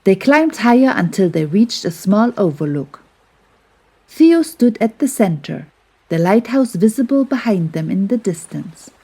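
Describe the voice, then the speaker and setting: Slow, mellow woman telling a bedtime story. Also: medium pitch, sing-song, husky. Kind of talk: gentle scripted bedtime story narration for children.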